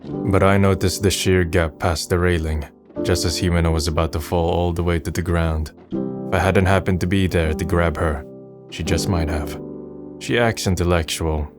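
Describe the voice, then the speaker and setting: A man's voice: low-pitched, lightly acted. An actor voicing a wry, pensive, melancholy young man in a manga dub, musing and understated. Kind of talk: manga dub dialogue and narration.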